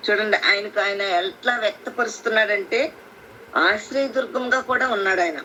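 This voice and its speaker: Woman preacher on a phone line, bright tone, explains slowly with many restarts. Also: mid-range voice. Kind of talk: Bible teaching on a prayer call. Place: conference call. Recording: phone line with faint hiss.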